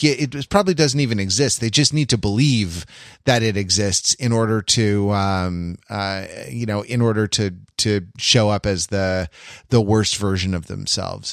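A man in a casual film discussion, a podcast panelist who haltingly searches for his words with a wry touch. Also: on the low side, no echo, studio quality.

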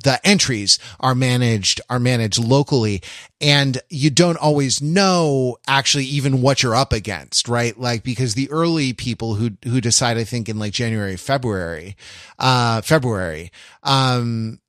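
A man's voice: mid-range voice, a bit bright, dry recording. Man talking things through, dry close sound. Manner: halting, repeats phrases as he reasons. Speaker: podcast panelist. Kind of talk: casual analytical discussion.

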